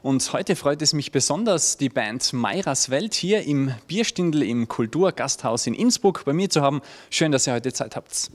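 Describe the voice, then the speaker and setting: A young man hosting a TV music show, asking questions in an upbeat, smooth presenter style into a handheld mic in a stone-walled venue. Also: a little high, lively intonation, moderate pace, hardly any pauses. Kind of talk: TV interview hosting.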